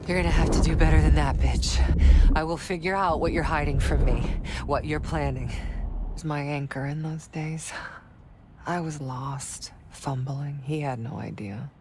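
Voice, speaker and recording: A woman's voice: low voice, steady tone, normal speed, close to an everyday voice. A woman playing a troubled intelligence agent recalling her past in a dramatic game cutscene. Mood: defiant. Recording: loud noise behind the voice.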